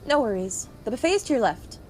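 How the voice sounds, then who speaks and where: Lodge keeper played by a woman: hospitable yet bossy puppet-show figure, theatrical, higher register, very expressive. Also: quick pace, soft background music. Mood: welcoming. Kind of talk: amateur puppet show acting.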